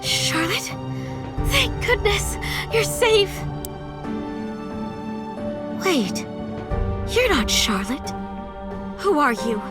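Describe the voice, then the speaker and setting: A woman's voice: very high-pitched, sing-song, takes it slowly, long pauses, over music, exaggerated voice. A woman playing a determined young female vampire heir in a game, speaking earnestly and with open emotion. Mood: startled. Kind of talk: dramatic game voice acting with narration.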